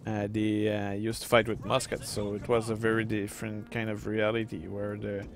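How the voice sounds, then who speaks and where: French Canadian man, low flat voice. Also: normal speed, gravelly, music in the background. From Quebec, Canada. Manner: rambling, many uh fillers and restarts. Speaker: gameplay streamer. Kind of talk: casual gameplay commentary.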